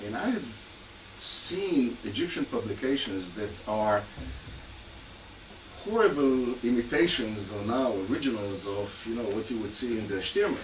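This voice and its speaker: A man's voice: medium pitch, animated, unhurried. A man with a Hungarian and Israeli accent giving an academic lecture as a criminologist, with many fillers and dry asides. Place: lecture room. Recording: echoey hall, far mic, noisy.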